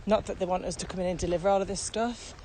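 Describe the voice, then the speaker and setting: A woman's voice: mid-range voice, steady tone, rapid-fire, dark tone. A woman co-hosting a podcast, explaining things in casual conversation while out on a walk. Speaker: podcast co-host and virtual training consultant. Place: outdoors on a walk. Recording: very noisy outdoor recording, traffic and ambience.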